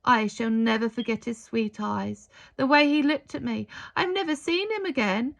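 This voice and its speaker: Woman acting breathless, wistful old survivor, lifted pitch. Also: vocal fry, a bit bright. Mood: sorrowful, remembering. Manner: breathless, halting, heartfelt.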